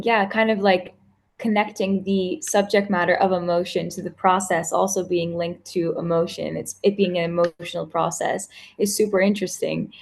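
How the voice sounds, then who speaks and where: Young woman interviewer, low voice with lots of fry, super eager, casual. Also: darkish tone. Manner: enthusiastic, casual phrasing.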